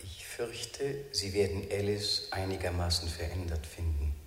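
An actor playing a grave, reserved doctor in a 1970s radio play, with formal, measured, courteous delivery. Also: male voice, deep voice, fairly bright tone. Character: grave, formal, reserved doctor reading his own letter. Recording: echoing letter voice with noise.

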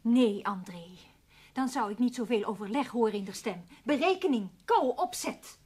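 An actress playing an exasperated, sharp-tongued middle-aged wife in a radio play, with an emphatic, scolding delivery. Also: female voice, fairly high pitch, animated, normal speed, breathy edge. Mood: impatient, firm. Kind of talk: Dutch radio drama acting.

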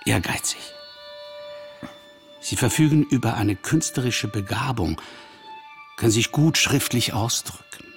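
Male actor for authoritative palm-reading analyst in a radio play: very unhurried, gravel-edged, certain of every verdict. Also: mid-range voice, faint music behind, lightly acted. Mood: clinical pronouncing. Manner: deliberate, declarative, authoritative. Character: commanding, probing male palm-reading psychoanalyst, self-assured.

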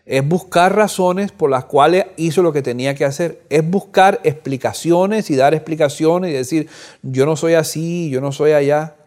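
Man, 50s, Bible study teacher, earnest, repeats words, fairly high voice. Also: moderate pace, a little mellow. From Cuba. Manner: earnest, repeats words while thinking, rising on emphasis. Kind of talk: Bible lesson commentary. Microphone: audio recording.

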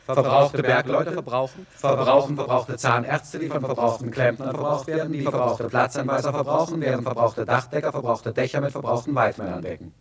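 A husky-voiced, self-important male career adviser played by an actor in a satirical radio play. He declaims at a middling pitch, hammering words home with insistent repetition and a domineering air. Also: a little fast, hardly any pauses, lightly acted. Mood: declamatory, insistent. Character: domineering pompous male career adviser preaching to young people.

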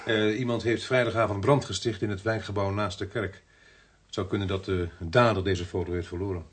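Husky, low-voiced police inspector. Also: male voice, steady tone, a little fast, no room sound. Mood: grave, businesslike. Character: businesslike male police inspector, investigating a case. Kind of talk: Dutch radio drama acting.

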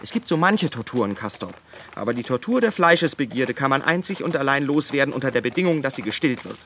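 A man's voice: mid-range voice, sing-song, quick pace, fairly bright tone, slight background noise. An actor playing a wretched, lovesick man who whines and pleads as he confesses, in a German radio drama. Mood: tormented, pleading. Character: wretched lovesick man, whining and self-pitying.

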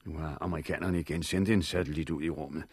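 An actor playing a stern, practical middle-aged father in a 1960s radio drama, with a husky voice. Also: rumbling low, fairly even intonation, darkish tone, heavily acted. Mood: disapproving, grumbling.

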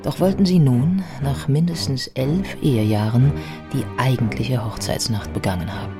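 A woman narrating a radio play from a script in a measured, factual storytelling manner. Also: extremely low pitch, music in the background.

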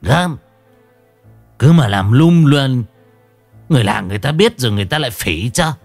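A man's voice: medium pitch, expressive, normal speed, darkish tone, no room sound, faint music behind, voice pushed up. A man voicing a cruel old village mother-in-law who scolds with scorn and cackles slyly. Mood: scolding.

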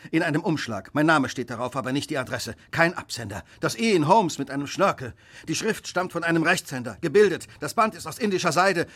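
A man acting in a radio drama as a brilliant, brooding detective, husky, intense and commanding, with clipped deductions. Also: on the high side, sharp tone, full character voice. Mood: sharp, resolute. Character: brilliant brooding detective, driven by guilt and fierce resolve.

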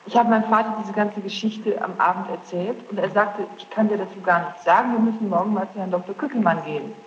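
A woman's voice: mid-range voice, quick pace. A young woman giving testimony as a questioned witness, recorded in a large courtroom on old tape. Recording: echoey hall, dull noisy archive tape.

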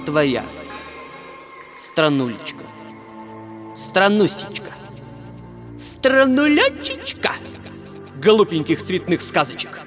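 An actor playing a gloating, mocking villain of a dream land in a children's musical audio play, with a drawling, mock-sweet theatrical manner. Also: male voice, high voice, full character voice.

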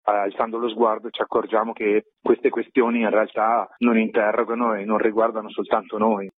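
A man's voice: on the low side, level tone, moderate pace, breathy edge, dry recording. A man giving a radio interview as a theatre maker, reflective and articulate in long flowing sentences. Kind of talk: radio interview, explaining a stage production. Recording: phone-like narrow band, radio interview.

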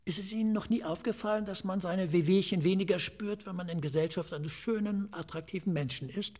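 Raspy, dryly clinical pathologist. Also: male voice, sits high, fairly quick, a little mellow. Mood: lecturing, relishing. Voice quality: raspy, creaky. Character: talkative male forensic pathologist, dryly clinical, confiding, a little eccentric. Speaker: forensic pathologist. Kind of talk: German radio drama acting.

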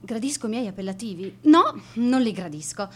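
A woman's voice: a little high, animated, moderate pace. An actress in a radio monologue playing a strict, spiteful schoolteacher, sarcastic and given to answering her own questions. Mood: haughty, scornful. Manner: sarcastic, asking and answering herself. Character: bitter, spiteful, strict female schoolteacher, obsessed and vindictive. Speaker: radio drama actor.

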